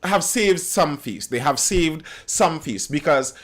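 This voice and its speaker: A man's voice: fairly high pitch, lively intonation, slow pace. A Trinidadian man hosting a live stream, commenting on news and reading viewer comments, often repeating phrases as he thinks aloud. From Trinidad and Tobago.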